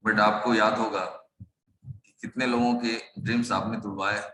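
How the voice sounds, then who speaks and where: A hoarse man gives a motivational talk over a video call. His low voice stays flat in pitch, and the room echoes around it with a faint noise underneath. He speaks in rousing, slogan-like phrases. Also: moderate pace. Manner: rousing, slogan-like phrasing mixing in English words. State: hoarse throat. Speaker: guest speaker, network marketing leader. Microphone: video call microphone.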